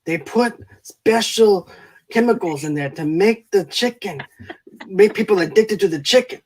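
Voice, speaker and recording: A man's voice: high-pitched, animated, measured pace, darkish tone, slightly rough, exaggerated voice, low-quality recording. A man voicing an older Scottish father who mutters suspicious conspiracy talk in an overdone accent. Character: old male Scottish conspiracy-minded dad.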